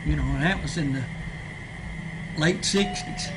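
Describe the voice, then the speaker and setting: A man's voice: a little high, measured pace. A man in his sixties with an East Tennessee accent, telling childhood stories in an easygoing, chuckling way in a small library room. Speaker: storyteller. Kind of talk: casual reminiscing. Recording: noisy room recording.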